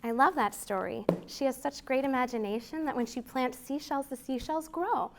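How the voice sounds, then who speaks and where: An American woman hosting a children's storytime, reading aloud in an expressive, deliberate way for young listeners. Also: high-pitched, background noise. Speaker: library storytime host.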